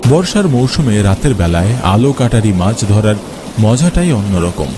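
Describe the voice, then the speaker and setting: A man's voice: on the low side, big pitch swings, moderate pace, noisy background. A man narrating a scripted scary story for a cartoon. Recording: music and rain behind. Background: music and rain sounds.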